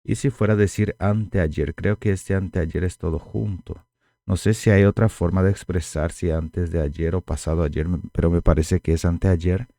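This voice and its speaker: A man teaching a language lesson, speaking slowly and repeating each word for learners to copy, with Guarani as his first language. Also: deep voice, steady tone, warm tone, dry recording.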